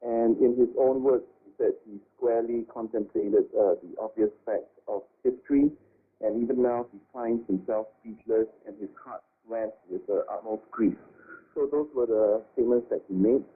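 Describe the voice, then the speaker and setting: Husky, halting man on shortwave radio. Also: on the low side, fairly even intonation. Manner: halting, deliberate. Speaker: guest commentator. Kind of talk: radio discussion, analysis. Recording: narrow lo-fi shortwave radio broadcast.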